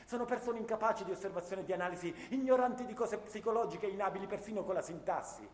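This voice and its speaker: A man's voice: high-pitched, fast, darkish tone, natural voice. An actor playing a bitter, angry Italian alpine infantryman of the First World War, ranting indignantly in a theatrical radio play monologue. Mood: scornful ranting. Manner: indignant ranting, rhetorical questions, sarcastic. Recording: roomy, reverberant hall with faint noise.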